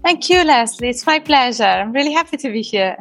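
A middle-aged woman from Italy with an Italian accent, speaking as a podcast guest in a halting way, with many fillers and restarts. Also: a little high, expressive, fairly bright tone, soft background music. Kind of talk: conversational interview.

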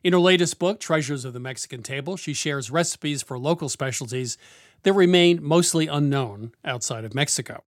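A man's voice: a little high, fairly quick, no room sound. Dry-sounding male radio host. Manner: curious, conversational questioner. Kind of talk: radio interview.

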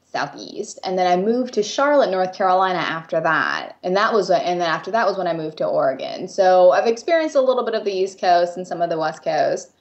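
A woman's voice: on the low side, animated, a little fast, hardly any pauses. Friendly young American-accented woman guest, slightly muffled. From Japan. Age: 20s. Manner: friendly, laughs easily, many ums. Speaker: guest artist. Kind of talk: casual interview chat.

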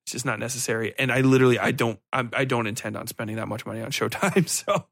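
A man's voice: mid-range voice, fast, vocal fry, a bit bright, dry recording, studio quality. A man hosting a solo podcast, giving casual review commentary in a rambling, think-aloud way with some casual swearing. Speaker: podcast host.

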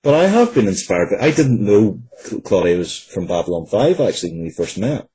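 A man giving a casual interview as a writer, in a halting, conversational way. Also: on the low side, big pitch swings, dark tone, slightly rough, rough recording.

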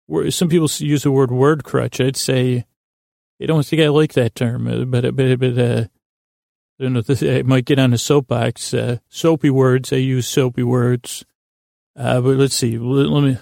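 Male bedtime podcast host: gravelly creak, flat intonation, soothing, halting, tangent-prone rambling. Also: medium pitch, no room sound, studio quality. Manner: halting, tangent-prone, circling back on himself. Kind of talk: rambling, meandering bedtime monologue meant to lull listeners to sleep.

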